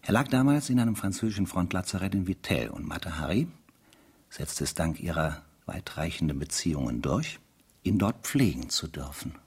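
Sceptical brooding narrator for a radio drama, creaky n raspy, really varied intonation. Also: male voice, low voice, lively intonation, moderate pace, heavily acted. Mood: pensive, sardonic. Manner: pensive, sardonic, rhetorical questions. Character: ruminating, sceptical male narrator weighing evidence.

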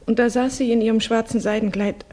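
An actress playing a refined, earnest young Russian woman in grief, speaking haltingly in a 1960s German radio drama. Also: a little high, steady tone, mellow, close to an everyday voice. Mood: grieving, halting. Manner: halting, formal, intense.

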